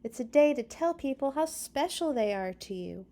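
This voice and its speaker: A woman's voice: high voice, expressive, normal speed, warm tone, voice pushed up. A woman voicing a friendly, earnest young girl cat from a storybook, with an eager, insistent way of talking.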